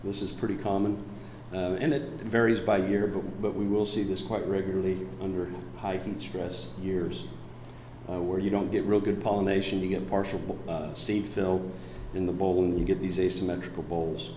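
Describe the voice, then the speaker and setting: Very deep-voiced man with a chest cold. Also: flat delivery, moderate pace, mellow, a little hiss or hum. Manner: walks through slides, pointing out details. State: chest cold, voice deeper than usual. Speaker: crop researcher presenting findings. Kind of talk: slide presentation on research. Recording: roomy echo.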